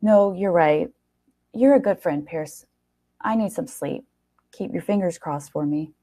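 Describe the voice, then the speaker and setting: A woman's voice: fairly low pitch, unhurried, warm tone. A woman voicing a nervous, lovestruck young man in a story, earnest and gushing. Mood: grateful. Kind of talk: storybook character dialogue.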